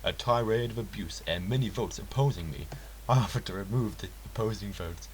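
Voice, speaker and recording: A man with a notably low voice narrates a first-person story from a script. His delivery is earnest and theatrical. A light hiss sits under the recording. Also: brisk. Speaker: narrator reading a story aloud. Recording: hissy, clearly noisy home recording.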